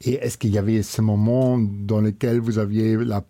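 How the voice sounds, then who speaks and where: Mellow-voiced radio host. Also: male voice, on the low side, level tone, moderate pace. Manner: hesitant, many fillers, curious. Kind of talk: radio interview questions. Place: radio studio.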